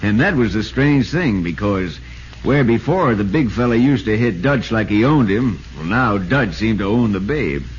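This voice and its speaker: Animated American newspaperman in vintage radio drama played by a man. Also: fairly low pitch, normal speed, lightly acted. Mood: dramatic storytelling. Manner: dramatic, insistent. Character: earnest, urgent male baseball reporter telling his story. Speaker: narrator and actor in a radio drama. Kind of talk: 1940s radio acting, dramatic narration. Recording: muffled, noisy vintage radio recording.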